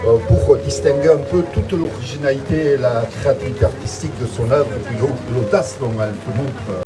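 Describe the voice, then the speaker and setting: A man's voice: medium pitch, brisk, loud music behind the voice, low-quality recording. A man leading a tongue-in-cheek guided tour outdoors, talking in a mock-solemn way and hesitating often. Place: outdoors in front of a museum.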